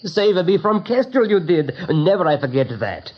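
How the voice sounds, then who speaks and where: A man's voice: high voice, big pitch swings, normal speed. A man playing a friendly, folksy little field animal in a radio play, speaking foreign-sounding broken English. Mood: friendly, chatty. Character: chatty, friendly little male field animal, rustic and grateful. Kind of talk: radio drama acting. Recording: dull 1980s radio recording.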